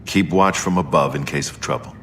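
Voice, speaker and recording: A deep, resolute secret agent. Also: male voice, a little fast, background noise. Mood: determined. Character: gruff, resolute male imperial secret agent, seasoned warrior. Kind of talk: video game dialogue acting.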